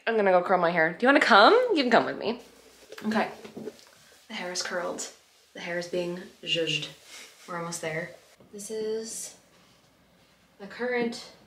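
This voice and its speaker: A woman around her thirties chatting casually to camera in a playful, expressive way, stretching words for emphasis. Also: mid-range voice, a little hiss or hum. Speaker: vlogger. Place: apartment living room. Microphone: camera at arm's length.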